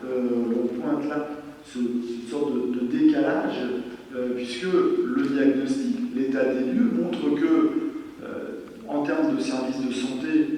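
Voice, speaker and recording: A man's voice: medium pitch, dark tone. A man presenting at a local meeting in an explanatory style, hesitant and often rephrasing himself as he goes. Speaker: presenter of survey results at a council meeting. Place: large reverberant meeting hall. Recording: very echoey hall, distant mic, noisy.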